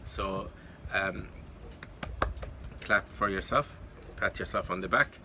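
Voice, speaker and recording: A man's voice: sits low, takes it slowly, gravelly, noisy background. A man from Kuwait whose first language is Arabic, giving casual encouraging advice to camera, with many fillers and self-corrections. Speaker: YouTube creator.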